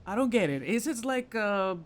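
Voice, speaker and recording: A man's voice: sits high, lively intonation, moderate pace, a little hiss or hum. A man giving casual let's play commentary, thinking aloud informally as he works through a game. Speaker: gamer playing a puzzle game.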